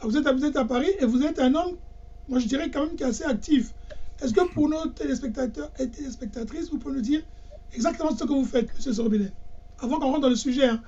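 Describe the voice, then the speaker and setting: A man's voice: very high-pitched, fast, mellow, muffled recording. A man hosting an interview, with hesitant, stammering restarts. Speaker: radio and TV host.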